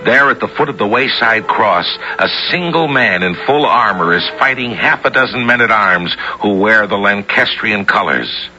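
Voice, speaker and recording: Deep-voiced male radio drama host. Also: lively intonation, moderate pace, hardly any pauses. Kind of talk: scripted dramatic narration. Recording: dull old radio broadcast, loud music behind. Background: loud music.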